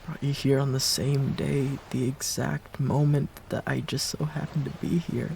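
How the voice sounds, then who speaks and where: Slow, heartbroken man acting as a pleading ex-boyfriend. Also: medium pitch, flat delivery, darkish tone, a little hiss or hum. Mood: searching, hopeful. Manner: halting, emotional, breathy pleading. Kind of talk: intimate romantic roleplay audio acting.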